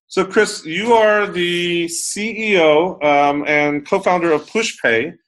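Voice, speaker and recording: A man's voice: high-pitched, steady tone, slow, a bit bright, rough recording. A man hosting a podcast interview in a casual, chatty way, with many ums and uhs. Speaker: podcast host.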